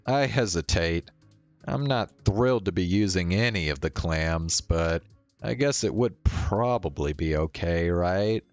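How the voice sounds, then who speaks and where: A man's voice: low-pitched, expressive, unhurried, no echo, lo-fi sound, soft background music. A man narrating a visual novel aloud as a streamer.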